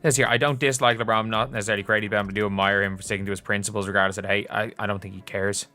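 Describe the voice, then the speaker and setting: A man's voice: on the low side, flat delivery, racing, sharp tone, faint music behind. A man giving casual, opinionated sports commentary.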